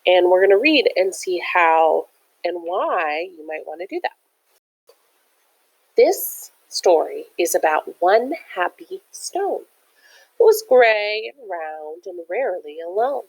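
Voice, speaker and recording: A woman's voice: fairly low pitch, sing-song, takes it slowly, low-quality recording. A woman reading a picture book aloud like a teacher, with chatty, encouraging asides that explain things simply to young listeners. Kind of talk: animated children's read-aloud with chatty asides.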